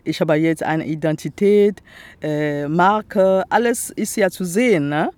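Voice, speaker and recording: A woman with a Congolese accent talks slowly and haltingly in a dry, close-sounding recording. Her first language is Teke, and she gropes for words in German, leaning on fillers. She is outdoors by a lake, chatting as the interviewee. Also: on the low side, darkish tone, smooth, no echo. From Republic of the Congo. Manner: halting non-native German, searching for words with many fillers. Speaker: artist, interviewee. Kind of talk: casual interview chat.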